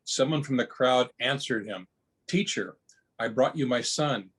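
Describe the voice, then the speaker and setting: Husky-voiced man reading scripture. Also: medium pitch, measured pace, a bit bright. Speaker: scripture reader. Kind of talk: Bible reading. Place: at home, on a video call. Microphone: video call.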